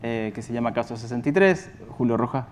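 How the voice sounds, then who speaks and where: A man with a Chilean accent giving an informal conference presentation as a company executive, halting and correcting himself as he goes. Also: mid-range voice, expressive, slight background noise.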